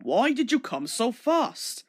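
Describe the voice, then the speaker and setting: A woman voicing a stern, portly male railway director in a children's story, speaking in a measured, lecturing way. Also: fairly high pitch, big pitch swings, darkish tone, no echo, studio quality, exaggerated voice.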